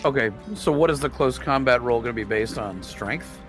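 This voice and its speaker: A man with an ordinary mid-pitched voice plays a tabletop roleplaying game. He talks casually, as a player at the game, with music in the background. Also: normal speed, fairly bright tone.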